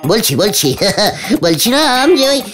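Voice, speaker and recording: A woman voicing an excitable, scheming village uncle in a comic cartoon, muddling and stammering through the words. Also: fairly high pitch, takes it slowly. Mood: wheedling. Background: music.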